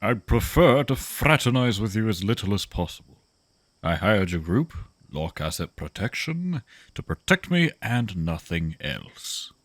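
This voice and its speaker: A man's voice: fairly low pitch, big pitch swings, a little slow, no room sound. A man playing a pompous, greedy corporate executive in a sci-fi audio drama, haughty and condescending in formal wording.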